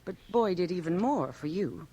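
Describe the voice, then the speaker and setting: An actress playing an imperious, self-possessed society widow in a radio drama, commanding, clipped and condescending. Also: female voice, fairly low pitch, expressive, normal speed, crowd noise, lightly acted. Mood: imperious, impatient.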